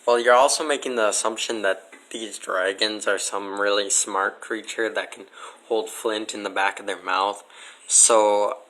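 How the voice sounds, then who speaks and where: Low, flat-toned, skeptical man with a bright edge. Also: a little slow, rough recording. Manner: skeptical, blunt, sardonic. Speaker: podcast guest answering questions. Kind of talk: casual debate.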